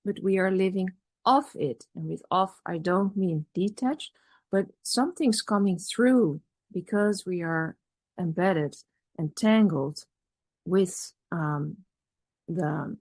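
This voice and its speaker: A woman whose first language is Dutch, giving a measured, reflective academic talk at a conference with pauses and restarts. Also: lowish voice, a little mellow, dry recording. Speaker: conference speaker.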